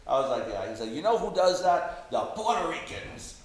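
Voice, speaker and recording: A man's voice: fairly high pitch, very animated, normal speed, roomy sound. A man talking casually in discussion and anecdote, feeling his way through sentences.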